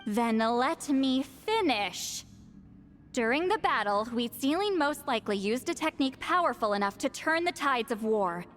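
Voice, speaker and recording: A woman's voice: on the high side, fairly bright tone. A woman playing a blunt, irritable and condescending shaman granny who sounds youthful, in a video game. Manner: brusque, condescending. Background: background music.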